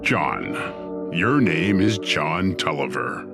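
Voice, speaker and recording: A scheming ruler of hell, deepish lively voice, theatrical and wry, music under it. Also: male voice, very slow, gravelly, full character voice. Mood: measured, conceding. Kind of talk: audio drama acting.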